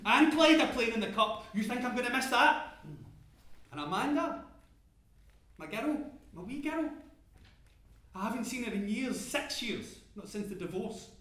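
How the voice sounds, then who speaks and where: A gruff rig worker. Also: male voice, very high voice, animated, measured pace, pauses often, bright, forceful tone, very echoey, full character voice. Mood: brooding. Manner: swears hard, swings between despair and defiance. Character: gruff Scottish rig worker fighting to survive. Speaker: oil rig worker in a stage drama. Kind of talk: dramatic monologue acting.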